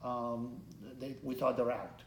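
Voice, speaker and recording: A man's voice: medium pitch, level tone. Romanian-born man in an echoey room. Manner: earnest, halting, many restarts. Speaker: congressional candidate. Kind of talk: interview answers. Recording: echoey room, faint background noise.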